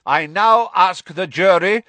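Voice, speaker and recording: A man voicing a stern, hate-filled older magical judge in an audiobook, delivering loud, formal pronouncements. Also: mid-range voice, very animated, unhurried, no echo, pitched above the performer's own voice. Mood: bellowing severity. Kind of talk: dramatised audiobook character voice.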